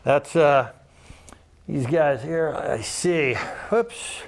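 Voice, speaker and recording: A man with a loud voice giving a casual, rambling campaign talk to camera as his own show's host, with many restarts and fillers. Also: fairly high pitch, drawn-out words, gravelly. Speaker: political candidate hosting his own weekly videos. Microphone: camera microphone close by.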